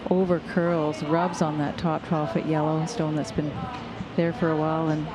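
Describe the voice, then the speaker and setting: A woman giving colour commentary on a curling match, calmly breaking down each team's options. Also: low-pitched, normal speed, over music, rough recording. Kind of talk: sports analysis. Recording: broadcast audio with loud background noise.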